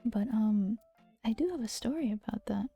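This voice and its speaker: A woman streaming and chatting close to the mic, her voice soft and gentle and often dropping into a half-whisper. Her tone is mellow, and she teases playfully with frequent giggles. Quiet music plays faintly behind her. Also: fairly high pitch, animated, moderate pace, vocal fry, slightly rough. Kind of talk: casual chat stream. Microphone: close to a regular mic.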